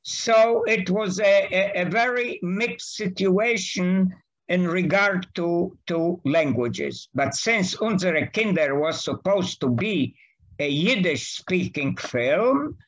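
A man in his eighties with a Polish accent, a native Polish speaker, recalling the past slowly and carefully on a video call from his home study. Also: high voice, lively intonation. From Poland. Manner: slow, careful, halting delivery. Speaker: guest speaker. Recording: dull lo-fi video call audio.

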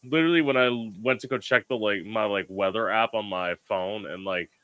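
A man from South Florida hosts a podcast over a video call at home. His voice sits a little low but rings bright and forward. He rambles freely, piling on fillers and quick asides. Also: clean tone, no room sound. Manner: rambling, lots of fillers and laughs. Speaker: podcast host. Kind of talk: casual video-call chat. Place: home room with lamps.

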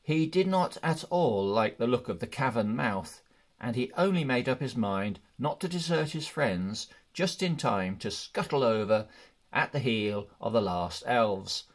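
Male storybook narrator, mid-range pitch, slow and deliberate read. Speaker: audiobook reader.

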